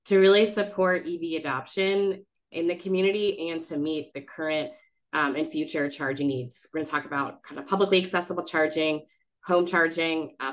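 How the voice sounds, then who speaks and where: Casting: low-voiced woman, hoarse and froggy from illness, chatty webinar presenter, video-call sound. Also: a bit bright. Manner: conversational, many fillers. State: hoarse from a cold. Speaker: city electric vehicle planner presenting.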